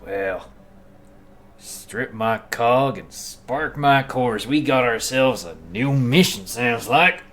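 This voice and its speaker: A man voicing a gruff, drawling robot soldier in a tabletop game. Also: medium pitch, animated, takes it slowly, a bit bright.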